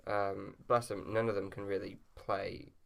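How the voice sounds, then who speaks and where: A woman with an extremely deep, gravelly voice full of fry. She chats casually in a dry, teasing way over a noisy recording. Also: little pitch movement, measured pace. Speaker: podcast co-host. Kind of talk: casual chat.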